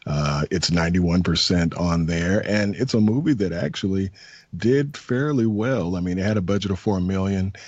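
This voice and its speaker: Male podcast host, deep and husky, easygoing chatter, lo-fi sound. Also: normal speed, no room sound. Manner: relaxed, chatty, many fillers. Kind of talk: casual movie review chat. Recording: dull lo-fi sound.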